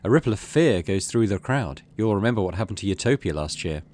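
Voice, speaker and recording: A man's voice: on the low side, animated, quick pace, a little mellow. A middle-aged man hosting a game playthrough, commenting casually and reading the story text aloud. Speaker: gaming video host.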